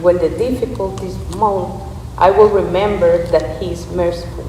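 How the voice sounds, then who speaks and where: A woman's voice: lowish voice, fairly even intonation, normal speed, mellow. A woman earnestly reciting a script as a church readers theater performer. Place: large reverberant church space. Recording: echoey hall, distant mic, background noise.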